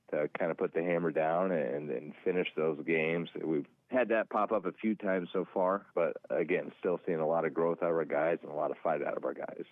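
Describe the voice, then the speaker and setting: An American man, a high school football coach, giving a plainspoken, halting interview on a pregame radio show. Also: no echo, phone-quality sound.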